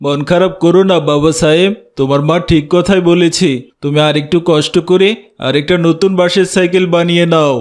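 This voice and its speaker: Man as a cartoon father, high-pitched, earnest, plaintive, sympathetic. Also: steady tone, a little fast, close to an everyday voice. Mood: consoling. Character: sympathetic village father of a schoolboy. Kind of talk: cartoon voice acting.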